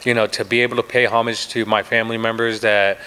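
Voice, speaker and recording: A man's voice: lowish voice, little pitch movement, quick pace, bright, forceful tone, smooth, poor audio. A man, a pro fighter, answering reporters at a press day, confident and full of restarts and "you know"s. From United States. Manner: confident, trash-talking, many restarts and fillers.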